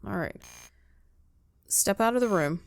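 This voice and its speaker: A woman's voice: mid-range voice, lively intonation, a little slow, creaky, no echo. A woman doing a live let's play, casually reacting and talking back to a game in a wry way. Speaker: game player.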